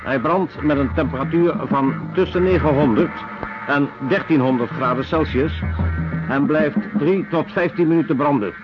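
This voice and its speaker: A man's voice: on the high side, normal speed, close to an everyday voice. A man playing a detached lecturer in a radio drama, reading in a precise, impersonal, matter-of-fact way. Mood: cold, clinical. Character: detached clinical lecturer on weapons and torture methods. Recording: dull radio recording with music behind.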